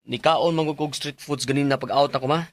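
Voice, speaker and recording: A man's voice: on the high side, fairly even intonation, brisk, no echo, close to an everyday voice. A male actor playing an earnest, respectful young office worker in a Filipino radio drama, with a Cebuano accent. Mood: casual, polite. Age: young adult. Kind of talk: Filipino radio drama acting and letter narration.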